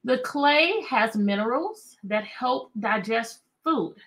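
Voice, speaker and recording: A middle-aged American woman reading aloud to children as a teacher, animated and chatty, often asking questions. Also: on the high side, slow, poor audio. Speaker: teacher and read-aloud host. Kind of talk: interactive children's read-aloud lesson. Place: indoor room with bookshelves.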